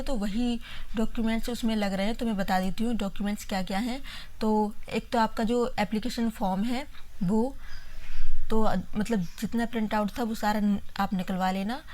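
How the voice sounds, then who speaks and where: A woman's voice: mid-range voice, darkish tone. A young woman talking casually to viewers as a vlogger, explaining things hesitantly and often checking in with short tags. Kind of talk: casual informational talk to viewers.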